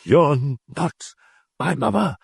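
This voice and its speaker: A man voicing a shaken young priest in an audiobook, hushed and tense. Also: on the high side, lively intonation, slow, gravelly, breathy edge, no room sound, clean studio sound, exaggerated voice.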